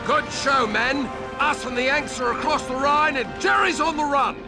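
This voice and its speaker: A man's voice: extremely high pitch, moderate pace, over music. An actor playing a hearty army officer, cheering on his men. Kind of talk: video game cutscene acting.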